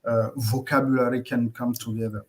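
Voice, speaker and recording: Male guest, mid pitch, halting explainer full of uhs and restarts. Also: little pitch movement, low-quality recording. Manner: halting, many uhs and self-corrections. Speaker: guest researcher. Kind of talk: explaining in an interview.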